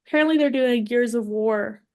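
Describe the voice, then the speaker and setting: A woman in casual conversation as a podcast panelist, discussing entertainment in a relaxed chat style. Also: on the high side, fairly quick, dry recording.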